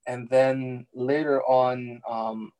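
A young man whose first language is Farsi, answering interview questions in a relaxed, halting way with many fillers and restarts. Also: mid-range voice, clean tone. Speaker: interview guest, architectural designer.

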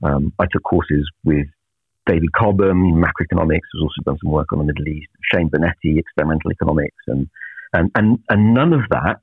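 Deep husky man on a tinny line. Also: dry recording. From Naples, Italy. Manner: measured, reflective, many filler pauses. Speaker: professor, guest. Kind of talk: interview answers.

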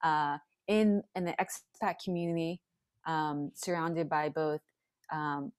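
An American woman raised in Hong Kong, sharing her personal story as a podcast guest in a thoughtful, halting, searching way. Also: deep voice, steady tone, clear, resonant tone, no room sound, clean studio sound. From born in China, raised in Hong Kong in an American family. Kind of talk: reflective personal storytelling in conversation.

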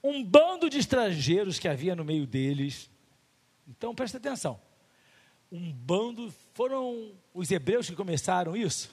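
A man's voice: high voice, very animated, moderate pace, darkish tone, husky, big-room sound. A male pastor with a Brazilian Portuguese accent preaching a sermon in a church, full of rhetorical questions and acted-out little dialogues.

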